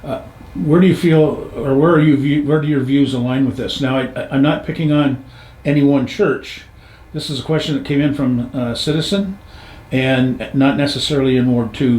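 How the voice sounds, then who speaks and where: A man's voice: medium pitch, a little mellow, a little hiss or hum. A man hosting an informal interview, with a folksy, easygoing way of phrasing questions. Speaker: interviewer, show host. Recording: echoey room.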